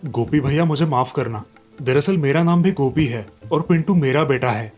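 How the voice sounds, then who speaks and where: A man voicing an apologetic village father, explaining himself earnestly in an animated fairy tale. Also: mid-range voice, moderate pace, soft background music, lightly acted.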